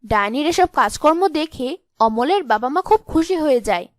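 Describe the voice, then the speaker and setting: Female storyteller, high pitch, dry sound, scripted fairy tale narration. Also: lively intonation, moderate pace, dry recording.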